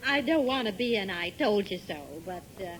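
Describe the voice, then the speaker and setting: An actress playing an amused, teasing wife in a 1950s radio comedy. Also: female voice, medium pitch, animated, a little fast, lightly acted. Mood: amused, smug. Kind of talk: 1950s radio sitcom acting. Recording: noisy vintage radio broadcast.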